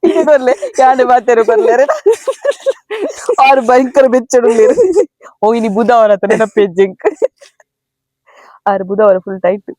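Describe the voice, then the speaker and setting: A woman's voice: on the high side, steady tone, normal speed, long pauses, a little mellow, no echo. A woman with a coastal Karnataka Tulu accent, chatting casually and playfully as the host of a prank call. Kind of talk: casual playful phone chat.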